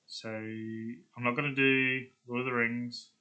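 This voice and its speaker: A man's voice: medium pitch, little pitch movement, slow pace, sharp tone, smooth. A man hosting a video in a casual, rambling chat, thinking aloud as he goes. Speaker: video host.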